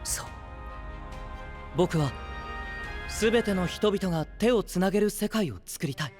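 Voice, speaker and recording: An actor playing a gentle, idealistic young knight who leads an alliance, speaking softly and earnestly in anime audio drama style. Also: male voice, high voice, animated, soft background music. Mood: earnest, resolved. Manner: soft-spoken, earnest, measured.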